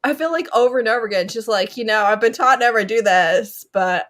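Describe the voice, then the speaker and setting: A woman chatting casually and emphatically as co-host of a book readalong, animated when retelling. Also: a little high, fairly quick. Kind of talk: casual chat about books.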